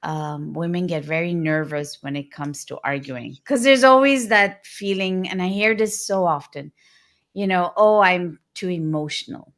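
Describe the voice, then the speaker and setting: Thoughtful woman host, low voice. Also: measured pace, a bit bright. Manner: reflective, hesitant, thoughtful questioning. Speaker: podcast host. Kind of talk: conversational interview.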